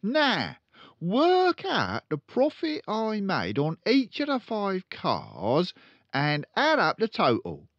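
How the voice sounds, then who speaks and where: A man's voice: high-pitched, wildly swooping pitch, takes it slowly, fairly bright tone, no room sound, lo-fi sound, voice pushed up, full character voice. A man voicing a boastful, bullying, hot-tempered father in a storybook, blustering and pompous. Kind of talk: storybook read-aloud character voice.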